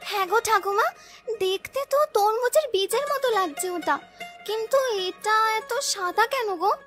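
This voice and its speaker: A woman's voice: extremely high pitch, moderate pace, a bit bright, soft background music. A woman voicing a humble, sweet, dutiful young village girl in a cartoon story, speaking meekly and earnestly. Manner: meek, earnest. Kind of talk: Bengali cartoon story dubbing.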